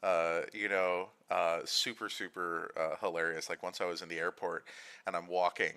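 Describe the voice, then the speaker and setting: An American man hosting a loose livestream Q&A. His voice sits very low yet comes across bright and forceful. He rambles and jokes at his own expense. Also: level tone, moderate pace, clear, resonant tone. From United States. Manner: rambling, self-deprecating, joking. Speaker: host. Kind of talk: casual livestream chat.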